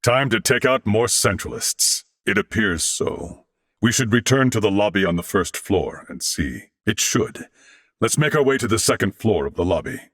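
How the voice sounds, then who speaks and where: A man voicing a courteous older alien warrior-scholar in a video game, speaking formally and eloquently with measured diction. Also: lowish voice, expressive, a bit bright, no echo, studio quality. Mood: composed, commanding. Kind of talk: video game character voice lines.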